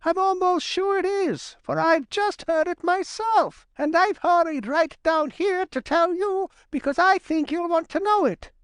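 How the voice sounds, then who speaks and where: Roly-poly cartoonish woodchuck: very high squeal, eager, excitable, storybook reading. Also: male voice, measured pace, hardly any pauses, no echo, exaggerated voice. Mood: excited. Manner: eager, calling out. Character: excitable, eager, round young male woodchuck.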